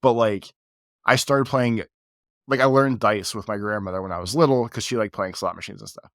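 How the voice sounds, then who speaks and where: Male podcast co-host, low voice, stuffy with a cold, rambling and filler-heavy, dry close mic. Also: brisk, fairly bright tone, no room sound. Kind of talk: casual chat.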